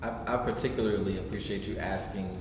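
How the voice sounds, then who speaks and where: A man's voice: on the low side, steady tone, fast. A man hosting an informal post-show question and answer session at a staged reading. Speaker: host of a staged reading discussion. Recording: echoey noisy hall, distant mic.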